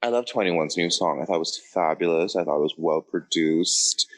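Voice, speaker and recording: A man with a very low voice chats casually as a podcast co-host. His delivery is blunt with a shady sense of humour, and he is recorded dry, with no room sound. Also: normal speed, dry recording. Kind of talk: casual opinionated podcast chat.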